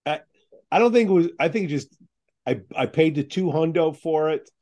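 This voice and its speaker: A man's voice: fairly high pitch, animated, moderate pace, darkish tone. A man hosting a casual interview, joking and prompting his guest with questions. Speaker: host.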